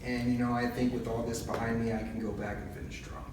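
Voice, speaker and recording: A man's voice: medium pitch, little pitch movement, fast, lightly acted. Casting: young man as obsessive, lovesick student, mid-range voice, earnest amateur-film delivery, distant in a reverberant room. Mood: earnest, ashamed. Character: troubled, lovesick male college student, obsessive and brooding. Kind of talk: amateur dramatization acting. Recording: very echoey room, distant mic, clear noise.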